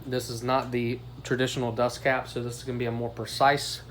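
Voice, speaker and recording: A man's voice: medium pitch, little pitch movement, brisk, a bit bright, a little hiss or hum. An American man giving a casual product demo as a reviewer, recorded on a phone microphone. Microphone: phone above the bench.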